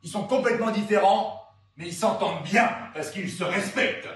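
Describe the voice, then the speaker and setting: A man's voice: high voice, normal speed, roomy sound. A man filming and introducing his friends in an old home video, in a rowdy, teasing way. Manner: rowdy, teasing, shouting jokes. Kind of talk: joking home-video commentary.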